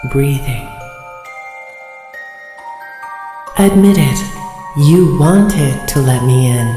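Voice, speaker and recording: A woman voicing an elegant, eerie, lonely ballerina animatronic from a horror video game, graceful and poised. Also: low-pitched. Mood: coolly menacing. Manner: graceful, languid, poised, half-sung. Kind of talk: video game villain voice lines. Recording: echoey hall, music behind.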